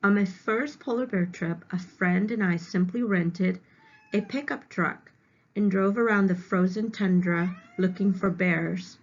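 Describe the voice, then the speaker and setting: A woman's voice: mid-range voice, unhurried. A woman reading aloud as a teacher, stopping to explain words simply to young students. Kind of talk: read-aloud lesson with simple word explanations. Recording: dull, lo-fi home recording.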